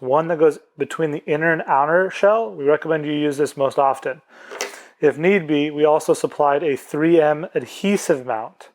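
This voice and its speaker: Male product presenter, voice a touch high, plain step-by-step tutorial delivery. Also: a little hiss or hum.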